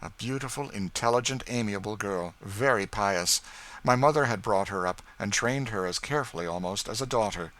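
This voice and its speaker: A man voicing a courteous young gentleman in an audiobook, frank, polite and informative. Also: on the low side, moderate pace, close to an everyday voice. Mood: admiring. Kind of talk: audiobook character dialogue.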